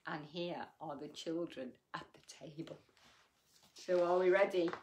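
A woman's voice: fairly low pitch, measured pace, darkish tone, a little hiss or hum. A middle-aged woman reading a bedtime picture book to children, adding chatty asides and sing-song tag questions. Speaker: storyteller reading children's books.

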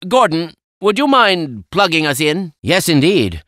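Fussy absent-minded old scientist for a video game, voice swings all over, close dry sound. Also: male voice, on the high side, sing-song, measured pace, a bit bright, no echo. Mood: earnest, genial. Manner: fussy, precise, old-fashioned exclamations. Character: kindly, absent-minded older male scientist, excitable and fussy. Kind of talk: video game character acting.

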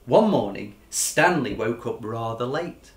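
A man, reading a children's storybook aloud with dramatic, animated expression. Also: mid-range voice, measured pace, echoey room. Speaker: children's author reading his own book. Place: furnished living room, indoors.

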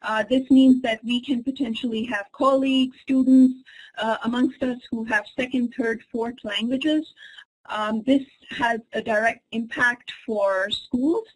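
A woman whose first language is Urdu delivers an academic webinar lecture into a computer microphone. Her voice sits a little high and carries a husky, breathy edge. She explains things in a measured, step-by-step way. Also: fairly even intonation, rough recording. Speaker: associate professor presenting a webinar. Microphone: computer microphone in an online webinar.

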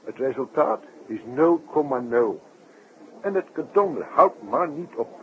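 Animated, excitable circus man. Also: medium pitch, unhurried. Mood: exasperated. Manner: effusive, stammering, slips in foreign phrases. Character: excitable, chatty male circus performer, worried and rushed. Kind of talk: old Dutch radio play acting. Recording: tinny old radio recording, faint noise.

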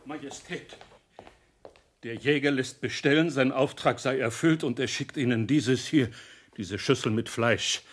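An actor playing a devoted, anxious royal servant in a fairy-tale radio play, earnest and deferential. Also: male voice, medium pitch, a little slow, noisy background, natural voice. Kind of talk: German radio drama acting.

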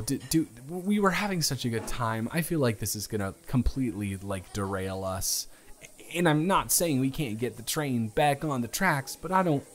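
Guy acting as a flustered young guy in a romance audio drama, stammers a lot, slow, pitch swings around. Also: a bit bright. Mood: dejected, frustrated. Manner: halting, stammering, emphatic. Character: earnest, flustered young man confessing romantic interest. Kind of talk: improvised romantic audio roleplay, conversational.

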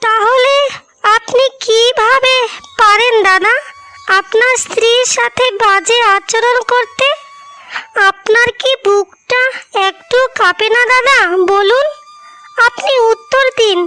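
A woman playing an earnest young sister-in-law in a cartoon melodrama, pleading and admonishing deliberately over her sister's behalf. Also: very high-pitched, steady tone, pushed and bright, exaggerated voice. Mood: pleading reproach. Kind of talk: slow melodramatic cartoon dialogue. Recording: music under the voice.

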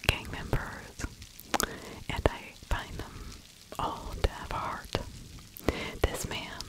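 A middle-aged woman narrates in a soft, breathy whisper with no voiced tone. She sits right up on the microphone in ASMR fashion. Her delivery is conversational and opinionated, like someone sharing her own take on a story. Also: slow, poor audio. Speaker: true crime ASMR host, narrator. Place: small indoor room. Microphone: close microphone with furry windscreen.

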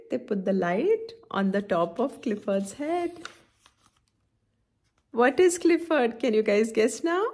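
Slow, expressive woman reading to children. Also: fairly high pitch. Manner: expressive, instructive, addresses children with frequent questions. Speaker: children's story-time host. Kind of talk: picture book read-aloud for young children, with questions. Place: indoor room at home, by a window.

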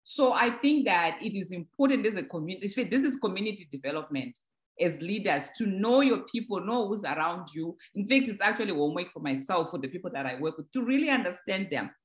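A woman's voice: on the low side, lively intonation, brisk. A woman moderating a church discussion, speaking reflectively in a conversational, teaching way. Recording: echoey room.

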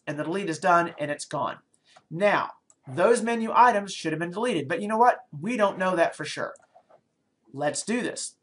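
A middle-aged man teaches programming over a screencast. He talks from a small office into a desk webcam. He explains each step aloud and often pauses, restarts or adds fillers as he thinks. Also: fairly high pitch, a bit bright. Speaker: programming lecturer. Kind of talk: screencast coding tutorial.

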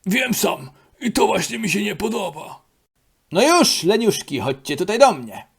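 A man voicing a bossy, upbeat male sports coach who shouts out counts and barks orders in an amateur cartoon dub. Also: sits high, very animated, measured pace, slightly rough, full character voice. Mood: coaxing.

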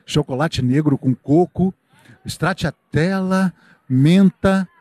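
A man's voice: on the high side, slow, darkish tone. A middle-aged man with a Brazilian accent hosting a live walking tour of a night-time Christmas fair outdoors, holding a handheld mic, chatty and upbeat, reading viewers' comments aloud. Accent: Brazilian Portuguese. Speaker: live-stream host and reporter. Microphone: handheld microphone.

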